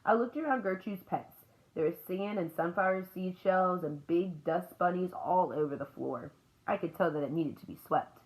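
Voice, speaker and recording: A woman reading a children's book aloud as an expressive storyteller. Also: on the low side, moderate pace, rough recording.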